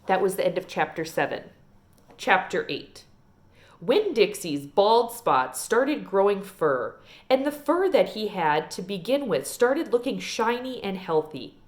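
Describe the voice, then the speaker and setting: Female read-aloud narrator for children's fiction, lively and varied intonation, mid-range pitch. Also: a little slow. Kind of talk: expressive children's novel narration.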